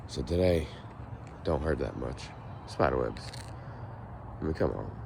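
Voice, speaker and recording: A young man with a raspy, hoarse voice, rambling to the camera as he walks outdoors in a casual vlog. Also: rumbling low, lively intonation, measured pace. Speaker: vlogger. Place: outdoors, walking on a foggy street. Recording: noisy outdoor recording with passing traffic.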